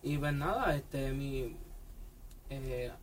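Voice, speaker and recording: A man's voice: medium pitch, extremely slow, noisy background. A young man from Puerto Rico, a trumpet student talking casually in an interview with many fillers.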